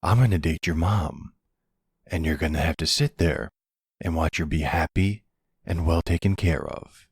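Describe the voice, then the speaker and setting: Man voicing a deep, gravelly, smooth mafia boss. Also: no room sound, clean studio sound. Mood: smug, commanding. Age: middle-aged. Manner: slow, smug, sweet-talking. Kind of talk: ASMR boyfriend roleplay audio drama.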